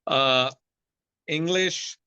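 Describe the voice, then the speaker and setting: A man with an Indian accent, a guest in a casual interview, talking haltingly with many fillers and restarts. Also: a little high, pushed and bright, clear, resonant tone, no room sound, dull audio. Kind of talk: casual interview on a video call.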